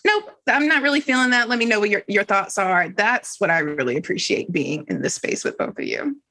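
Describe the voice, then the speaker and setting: A woman's voice: medium pitch, expressive, a little fast, sharp tone. A woman in a reflective, casual group discussion, candidly thinking aloud as she talks. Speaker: team member in a group discussion.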